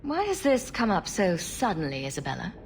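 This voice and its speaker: A woman playing a calm, diplomatic adventurer heroine in a video game, level and inquiring in manner. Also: mid-range voice, fairly quick, a little hiss or hum. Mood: calm, questioning.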